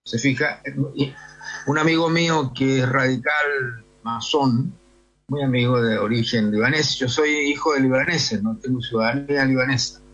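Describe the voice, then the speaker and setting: A man's voice: mid-range voice, fairly even intonation, moderate pace, a bit bright, lo-fi sound. An older man with a Chilean accent, a priest answering conversationally in an interview and often seeking agreement with tag questions.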